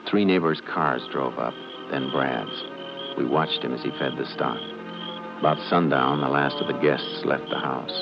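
An actor playing a calm, methodical Texas Ranger in a 1950s radio crime drama, speaking tersely and matter-of-factly. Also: male voice, a bass voice, normal speed, gravelly, music in the background. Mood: quietly intent. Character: calm, methodical Texas Ranger lawman narrating his case. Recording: noisy, muffled 1950s radio recording.

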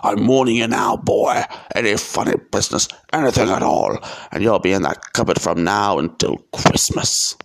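A male reader plays a stern middle-aged uncle from a storybook. His voice is gruff and menacing, with a creaky edge, as he lays down the law. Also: fairly low pitch, expressive, normal speed.

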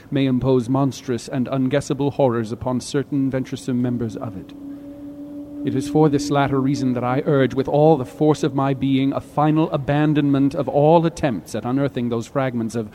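A man's voice: medium pitch, close to an everyday voice. Guy doing a haunted horror story narrator, solemn and formal, dire warning, noisy ambience behind. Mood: urgent warning. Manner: grave, formal, deliberate. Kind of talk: dramatic literary reading.

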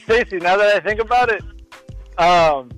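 A man's voice: high voice, normal speed, music in the background. A man in his thirties in casual podcast conversation, speaking bluntly and swearing freely. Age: 30s. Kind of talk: casual chat about films and television.

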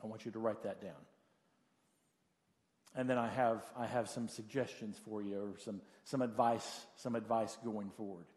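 A man in his late forties preaching a conversational sermon as a pastor, giving practical advice in a halting way and repeating phrases for emphasis. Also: on the low side, steady tone, a little mellow. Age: late 40s. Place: church. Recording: hall reverb of a large room.

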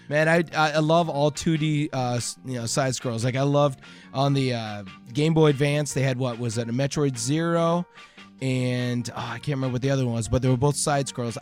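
A man's voice: mid-range voice, moderate pace. A man hosting a podcast quiz in a casual, chatty, teasing way, running the game as he banters. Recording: video game music playing under voice. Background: video game music.

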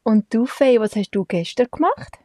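A woman's voice: on the high side, expressive, normal speed, mellow, no room sound, clean studio sound. A Swiss woman in her forties with a Swiss German accent, chatting casually to the camera as a mother and vlogger, laughing often and slipping in English words. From Switzerland.

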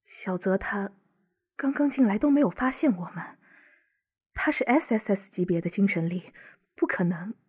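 Woman playing fretful parent in an audio drama, medium pitch, husky breathy voice, worried. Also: lively intonation, normal speed, pauses often, a little mellow. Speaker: voice actor. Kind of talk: modern audio drama acting.

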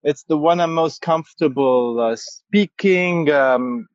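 A man's voice: a little high, takes it slowly, dry recording, dull audio. A man who grew up speaking Arabic, a yoga teacher guest on a podcast, talking hesitantly with many restarts and easy laughs. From Egypt. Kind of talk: podcast interview.

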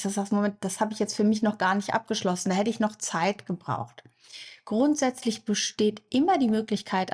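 A woman hypnosis coach explaining to the listener in a podcast, often speaking the listener's inner thoughts aloud. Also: mid-range voice. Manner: warm explanatory, addresses listener directly, acts out inner thoughts. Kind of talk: podcast monologue.

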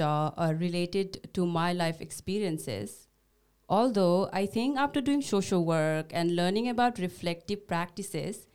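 A woman's voice: on the low side, darkish tone, clear, resonant tone. A young woman whose first language is Nepali, a social worker, speaking in an earnest, carefully read way. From Bhutanese refugee, grew up in Nepal. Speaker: social worker, podcast co-host. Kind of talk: scripted conversation.

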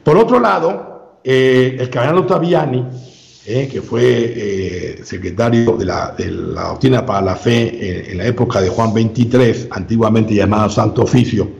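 A man speaking as an interview guest, giving a long measured explanation with frequent filler sounds and restarts. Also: lowish voice, creaky, darkish tone. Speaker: guest researcher. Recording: roomy, dull lo-fi sound.

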